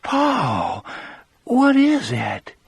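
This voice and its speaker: Guy reading as a sensible caring middle-aged wife, husky, dry audiobook sound. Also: extremely high pitch, wildly swooping pitch, drawn-out words, dry recording, pitched above the performer's own voice, exaggerated voice. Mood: shocked, afraid. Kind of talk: audiobook character dialogue.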